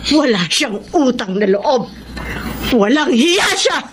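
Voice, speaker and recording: A woman's voice: sits high, expressive, takes it slowly, over music. A woman playing an angry, accusing Filipino mother who distrusts her son's partner, in a radio drama. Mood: indignant. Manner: confrontational, accusing, threatening. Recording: noisy radio drama broadcast.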